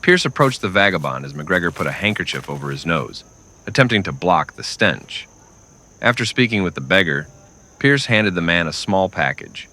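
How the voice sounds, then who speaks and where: A man narrating a scripted western in old-time radio style, with dramatic, deliberate storytelling. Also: low voice, big pitch swings, pushed and bright.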